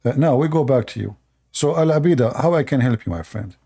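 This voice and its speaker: Gravelly man, Arabic his first language. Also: medium pitch, animated, dark tone. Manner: halting, repeats words, addresses viewers. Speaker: show host. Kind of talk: live call-in debate.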